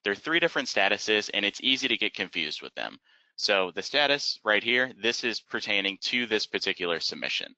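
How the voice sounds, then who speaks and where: A man narrating an instructional webinar as a software trainer, explaining methodically step by step in a close, dry, slightly dull recording. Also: fairly low pitch, big pitch swings, brisk, dry recording.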